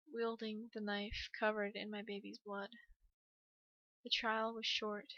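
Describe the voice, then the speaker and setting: A woman's voice: on the high side, fairly bright tone, no room sound, muffled recording. A woman voicing a distraught, haunted parent telling a horror tale in a dramatic, mournful reading.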